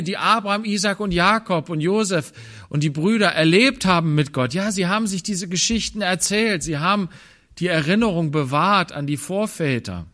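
German preacher with a higher voice. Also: male voice. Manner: thoughtful, pauses and restarts, drops to quiet low asides. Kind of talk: sermon.

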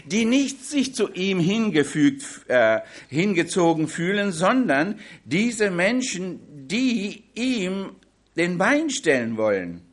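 A man preaching a sermon on a Bible passage in a language that is not his first, with slow, emphatic delivery that drops into quiet asides. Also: high voice. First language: not German.

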